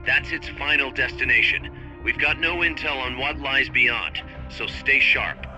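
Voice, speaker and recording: A man's voice: medium pitch, unhurried, close to an everyday voice, poor audio. An actor playing a businesslike male military officer giving clipped mission instructions in a video game. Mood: brisk, alert. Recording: music behind.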